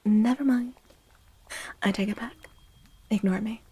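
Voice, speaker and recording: A woman hosting an ASMR livestream in a soft, breathy voice that often slips into whispers. She speaks slowly and with a mellow tone. Her manner is gentle, playful and cutesy, as she chats affectionately to her listeners. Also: mid-range voice, fairly even intonation. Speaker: livestream host.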